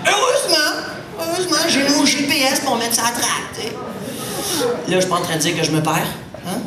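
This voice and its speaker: A young man with a Québécois French accent doing a lively stand-up routine, slipping into put-on voices. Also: extremely high pitch, brisk. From Quebec. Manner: animated, switches into put-on voices and English. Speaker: stand-up comedian. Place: comedy club stage with a live audience. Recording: roomy, distant live stage sound, loud crowd. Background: audience laughter.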